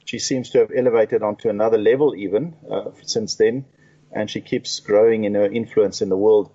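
A man hosting an interview talk show, relaxed and chatty with frequent fillers. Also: lowish voice, fairly quick, husky. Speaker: radio talk show host. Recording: dull lo-fi sound.

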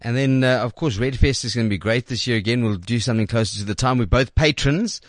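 A man's voice: medium pitch, fast, dry recording, studio quality. A South African man hosting a chatty radio interview in an effusive way. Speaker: radio host.

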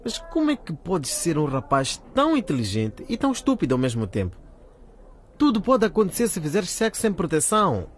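A man's voice: a little high, wildly swooping pitch, a little fast. A man playing a frank, scolding teenage schoolboy in a colloquial radio drama. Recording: faint background noise.

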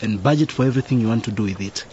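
A middle-aged actor playing a kindly, cautious father in a radio drama, speaking in a measured, paternal way. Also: lowish voice, darkish tone, dull audio, slight background noise, lightly acted. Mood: fatherly, gentle. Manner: measured, paternal, murmuring assent.

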